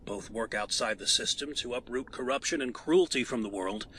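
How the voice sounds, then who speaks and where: An actor playing a frank, reasonable crime-fighting colleague in an audio drama, earnest and articulate. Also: male voice, medium pitch, normal speed, fairly bright tone, a little hiss or hum, lightly acted.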